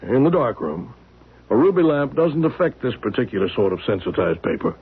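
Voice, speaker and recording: A calm, mysterious man in an old radio drama, explaining patiently in a husky voice with lively pitch. The audio is narrow and phone-like, like an old broadcast. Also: fairly quick. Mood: measured. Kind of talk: 1970s radio drama acting.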